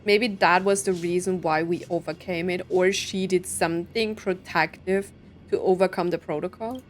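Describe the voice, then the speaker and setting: A woman's voice: lowish voice, fairly even intonation, normal speed, a little hiss or hum. A woman giving casual gameplay commentary and reactions as a game streamer. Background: game music.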